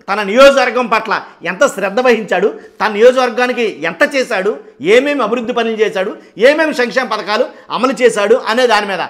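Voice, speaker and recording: A man's voice: high voice, fairly bright tone. This is a man. He talks to viewers as a commentary host, in a casual, explanatory way. He repeats phrases to drive points home and often voices other people's questions as if they were his own. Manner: asks rhetorical questions, acting out others' reactions. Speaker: host of a political commentary channel.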